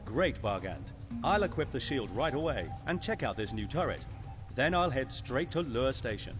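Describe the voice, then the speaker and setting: A man plays a plain-spoken sci-fi game pilot. His mid-pitched voice moves in lively steps, casual and confident, with music behind. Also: normal speed, close to an everyday voice. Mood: willing, determined. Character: willing, plain-spoken male space pilot hero.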